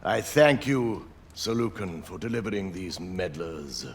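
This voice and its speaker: An actor playing a suave, gloating aristocratic villain in a video game, theatrical and mockingly courteous. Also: male voice, deep voice, expressive, measured pace, slight background noise. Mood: smug, mocking.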